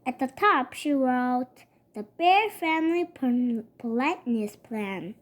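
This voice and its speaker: A child reading a children's picture book aloud in a halting way, stumbling over words and going back to repeat them. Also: sits high, expressive.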